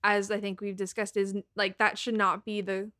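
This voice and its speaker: A woman's voice: mid-range voice, level tone, normal speed, a bit bright. A young woman from Massachusetts with an American accent, chatting casually and informally as a podcast co-host. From Massachusetts, United States. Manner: conversational, informal, frequent "like". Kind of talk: casual political podcast chat.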